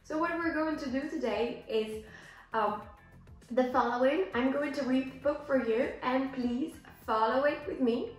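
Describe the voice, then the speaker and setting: A woman's voice: high-pitched, a little slow. A young woman teaching English to learners, reading aloud and explaining in simple, careful phrases with little check questions. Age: young adult. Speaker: English teacher and video host. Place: small bare indoor room. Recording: echoey bare room, music behind.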